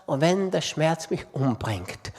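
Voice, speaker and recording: A man's voice: fairly high pitch, big pitch swings, slow, darkish tone. A man preaching a sermon with impassioned delivery and emphatic repetition. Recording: long reverb of a large hall.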